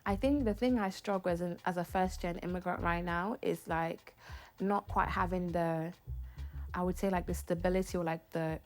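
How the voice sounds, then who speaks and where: A woman from Nigeria, a first-generation immigrant, talking thoughtfully in a documentary interview with frequent pauses and fillers. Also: on the low side, steady tone. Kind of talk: reflective interview talk.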